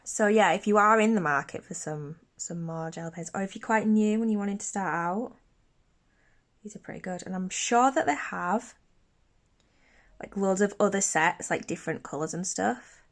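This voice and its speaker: A woman's voice: medium pitch, normal speed. A young woman with a slight sniffly cold, chatting casually through a nail tutorial from her bedroom. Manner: chatty, bubbly, restarts mid-sentence. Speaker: beauty YouTuber. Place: bedroom filming set, indoors.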